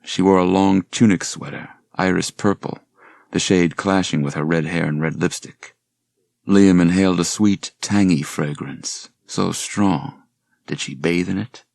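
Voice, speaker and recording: Very deep, gravelly male audiobook narrator. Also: a little mellow, no room sound. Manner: measured, dramatic storytelling. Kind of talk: scripted narration of a suspense horror novel.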